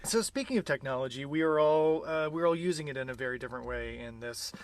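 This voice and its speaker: A man's voice: mid-range voice, quick pace. A middle-aged American man hosting a podcast, introducing and interviewing a guest. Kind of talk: scripted introduction and live conversational interview.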